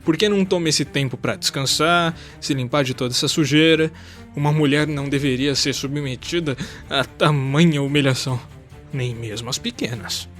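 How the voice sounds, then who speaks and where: A man's voice: on the high side, normal speed, fairly bright tone, faint music behind, lightly acted. Man voicing a proud, haughty lancer. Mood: teasing. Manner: formal, haughty phrasing. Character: proud young-father lancer, honourable, mocking warrior. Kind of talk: scripted audio drama acting.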